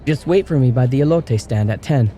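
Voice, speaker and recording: A man voicing a restless, rebellious teenage girl who pleads and shouts back. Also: mid-range voice, expressive, brisk, soft background music, pitched above the performer's own voice, close to an everyday voice. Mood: pleading. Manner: pleading, then shouting in anger.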